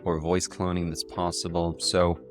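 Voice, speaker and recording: An Irish man hosting a casual software tutorial in an enthusiastic way. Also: deep voice, monotone, normal speed, soft background music. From Ireland. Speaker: tutorial video host.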